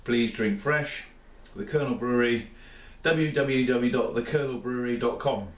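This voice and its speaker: A man's voice: medium pitch, normal speed, recorded from a distance. A tired man in his thirties, rambling casually and enthusiastically through a review in his small home kitchen. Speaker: beer reviewer. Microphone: camera mic at a few steps. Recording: roomy, off-mic home camera sound.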